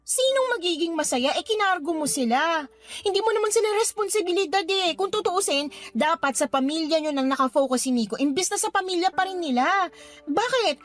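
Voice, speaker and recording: A woman's voice: extremely high pitch, expressive, fairly quick. An actress in a Tagalog radio drama playing an indignant, protective female cousin with an exclamatory, scolding way of talking. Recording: music bed behind the voice.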